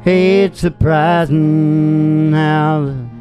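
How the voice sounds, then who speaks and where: Low-pitched, unhurried woman with guitar. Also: steady tone, dark tone. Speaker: radio show host and singer. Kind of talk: live country singing and loose casual show chat. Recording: guitar playing alongside the voice.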